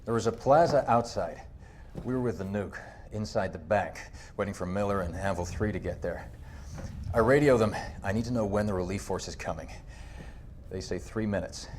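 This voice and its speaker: A man's voice: lowish voice, moderate pace, darkish tone, a little hiss or hum, natural voice. An actor playing a defensive male army sergeant under interrogation, talking in a clipped, urgent way. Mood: tense.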